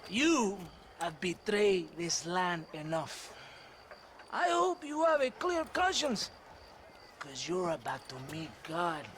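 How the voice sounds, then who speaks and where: Slow, gloating Mexican military villain. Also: male voice, sits high, very animated, fairly bright tone, a little hiss or hum, exaggerated voice. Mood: gloating menace. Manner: drawn-out, gloating delivery. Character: sneering, menacing male Mexican military villain. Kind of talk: video game cutscene acting.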